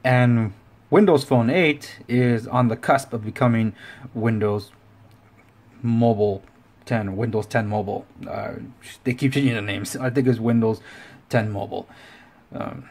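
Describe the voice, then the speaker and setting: A man's voice: mid-range voice, measured pace, long pauses, a little mellow. A man hosting a casual tech review, talking informally and rambling with self-correcting asides. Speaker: tech review show host. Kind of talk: casual video review talk to camera.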